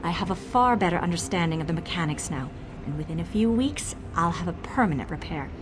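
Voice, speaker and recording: A woman's voice: fairly low pitch, animated, a little fast, music in the background, natural voice. A woman voicing an eager, boastful young female mage tinkerer in a video game, dramatic and nervously evasive. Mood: apologetic, proud. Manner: dramatic, self-congratulating, hesitant when cornered. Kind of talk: video game character dialogue.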